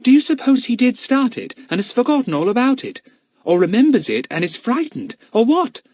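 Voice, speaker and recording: Man doing an eager, high-pitched young girl. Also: sing-song, fairly quick, darkish tone, no echo, studio quality, full character voice. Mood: puzzled. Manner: earnest, questioning. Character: eager, inquisitive young girl detective, earnest and self-important. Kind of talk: acted children's audiobook dialogue.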